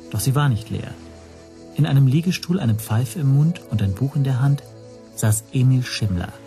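A man's voice: medium pitch, lightly acted. Man acting as reflective narrator over music. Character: stateless Hungarian teacher recounting his ordeal, reflective, anxious. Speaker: first-person narrator. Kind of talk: scripted radio drama narration.

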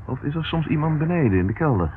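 An actor playing a police inspector in a radio play, probing and teasing but with a tender side. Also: male voice, on the high side, animated, quick pace, natural voice. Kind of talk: radio play acting in Dutch. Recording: muffled, narrow-band, noisy old radio recording.

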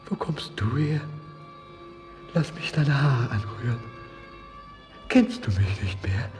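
Haunted young man, radio play, declamatory, hushed whispers, wide swings in pitch, slow, faint music behind. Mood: entranced, tender. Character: dreamy, haunted young man, awed and fearful. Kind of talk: theatrical verse radio drama acting.

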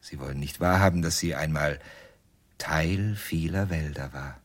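An actor playing an evasive, doting ventriloquist in a radio play, musing tenderly in a breathy voice. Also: male voice, rumbling low, measured pace. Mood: dreamy, adoring. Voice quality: breathy, husky. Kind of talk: German radio drama acting.

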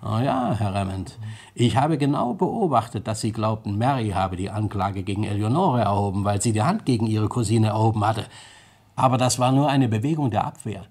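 An actor playing a shrewd, gently mocking police detective in an old German radio crime play, pressing his points with pointed questions. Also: lowish voice, lively intonation, brisk, slightly rough. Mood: insistent, probing. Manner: rhetorical questions, prodding interjections, pointed emphasis. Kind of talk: mid-20th-century German radio crime drama acting.

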